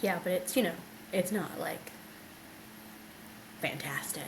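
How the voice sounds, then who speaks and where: A woman's voice: fairly low pitch, unhurried, creaky. A woman co-hosting a podcast in casual, conversational chat, with some noise behind her. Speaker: podcast co-host.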